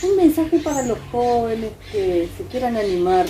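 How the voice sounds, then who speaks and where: Woman, Argentine accent, slightly high pitch, soft dark tone, conversational interviewer, short interjections. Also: lively intonation, moderate pace. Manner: frequent short listening interjections. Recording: faint background noise, ambient room sound.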